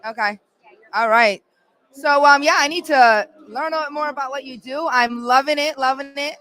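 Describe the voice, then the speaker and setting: Bright, high-voiced animated woman host. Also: normal speed. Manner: animated, enthusiastic, talks with her hands. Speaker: podcast host. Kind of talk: casual chat at a podcast event.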